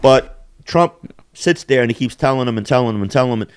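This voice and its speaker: A man's voice: mid-range voice, lively intonation, fairly quick, clear, resonant tone, dry recording. A man co-hosting a podcast, telling stories in crude, profane casual chat. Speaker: podcast co-host.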